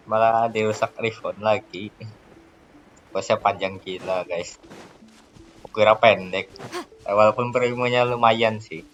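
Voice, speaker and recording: A young man with an Indonesian accent doing casual let's play commentary, informal and quick to exclaim. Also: fairly low pitch, steady tone, a bit bright, highs cut off, a little hiss or hum. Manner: informal, reacting aloud with short exclamations. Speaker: gaming video host.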